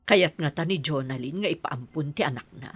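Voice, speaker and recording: An actress with an Ilocano accent playing a kindly, childless married landlady in an audio drama, with a husky voice. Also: low-pitched, lively intonation, fairly quick, dry recording. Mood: reassuring.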